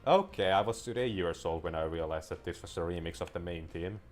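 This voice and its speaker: A man's voice: sits low, fairly quick, soft background music. A man whose first language is Finnish, rambling casually while commenting on a game he is playing, drifting into tangents. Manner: rambling, wanders into tangents and restarts sentences. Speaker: gameplay commentator. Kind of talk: casual let's-play commentary.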